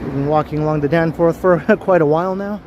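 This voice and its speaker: A man talks easily to his viewers as he strolls down a city street. His voice is deep and mellow, and it sits a little high for a man. Faint traffic hum runs underneath. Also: a little fast, highs cut off. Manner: chatty, greets viewers as he walks. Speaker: livestream host. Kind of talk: casual walking livestream chat. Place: outdoors on a busy city street.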